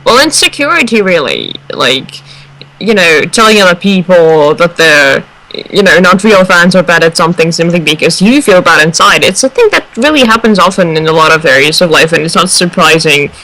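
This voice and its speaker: A woman's voice: fairly low pitch, very animated, normal speed, pushed and bright, rough recording. A woman on a discussion panel, talking casually in a reflective, explanatory way. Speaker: radio show panelist.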